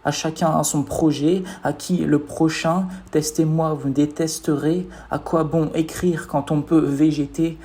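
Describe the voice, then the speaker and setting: Man reciting spoken-word poetry, soft dark tone. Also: a little high, fairly even intonation, measured pace, few pauses. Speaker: poet reciting own poem.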